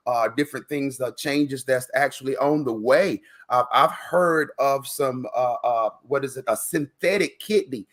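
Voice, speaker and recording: A man with a medium-pitched voice works as an interview host. He talks casually and encouragingly, with an earnest manner, and often doubles up words to stress them. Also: a little slow, few pauses, a bit bright.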